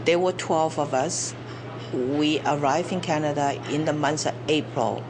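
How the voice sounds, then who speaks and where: Slow, low-voiced older woman. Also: noisy background. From China. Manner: slow, reflective storytelling. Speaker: business owner in a testimonial. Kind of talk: interview testimony.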